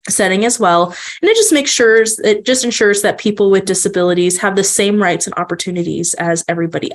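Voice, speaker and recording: A woman with a stuffy, congested voice walks listeners through a presentation in a loose, friendly manner. She speaks at a middling pitch and often pauses on ums and restarts her sentences. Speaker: accommodations specialist presenting. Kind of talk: informal webinar presentation.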